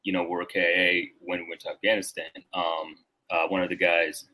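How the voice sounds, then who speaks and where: A man's voice: sits low, level tone, fairly quick, sharp tone, rough recording. A man in his thirties from Rwanda, a Marine veteran guest talking casually and reflectively on a podcast, with lots of filler words.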